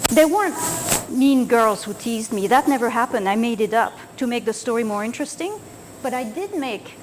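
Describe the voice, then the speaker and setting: A woman in her sixties from France, a children's author gently explaining a slideshow of pictures to young listeners. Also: high-pitched, slight background noise.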